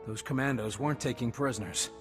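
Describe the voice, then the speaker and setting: An actor playing a grim, weary frigate captain in a video game. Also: male voice, medium pitch, quick pace, over music, natural voice. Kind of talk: video game cutscene acting.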